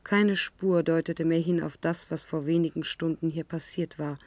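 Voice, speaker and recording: An actress playing an anguished, isolated young woman who narrates her ordeal in a German science-fiction radio drama, deliberate and dramatic. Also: deep voice, fairly even intonation, a little mellow, no echo, lightly acted. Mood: bleak, resigned.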